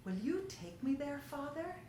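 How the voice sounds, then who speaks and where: A woman's voice: high voice, very animated, measured pace, far from the mic, background noise, voice pushed up. Storybook moon child played by a woman reader: small, eager, wistful boy, raised lilting voice, strong room echo. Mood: excited. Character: eager, lonely young moon boy, gentle and hopeful.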